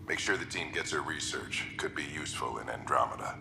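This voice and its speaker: An actor playing a weary, gruff middle-aged explorer father, speaking gravely and reflectively into his personal log for a video game. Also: brisk, background noise. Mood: resolute. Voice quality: gravelly, low.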